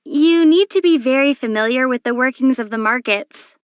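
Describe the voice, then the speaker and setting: Game heroine played by a woman: young traveler, high-pitched, measured and patient with a gently dry wit. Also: clear, resonant tone, dry recording, close to an everyday voice. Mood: instructive. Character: young female adventurer, patient, thoughtful, dryly amused. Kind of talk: video game character voice acting.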